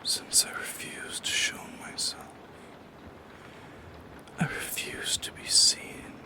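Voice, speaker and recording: A lonely shadow creature of the night, played by an ASMR performer, speaking in a breathy whisper. The words come very slowly and hesitantly, in a wistful, philosophical roleplay monologue. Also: natural voice. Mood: wistful, musing. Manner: slow, hesitant, philosophical musing. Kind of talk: soft-spoken ASMR monologue.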